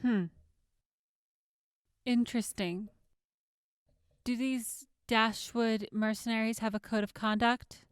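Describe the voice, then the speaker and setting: A composed prosecutor in a radio drama. Her voice is high and smooth, and she takes her time, putting each formal question with a pointed edge. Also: fairly even intonation, slow, long pauses, no echo, clean studio sound. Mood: cool, pointed. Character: composed, probing woman prosecutor in a wasteland town court. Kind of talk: audio drama courtroom acting.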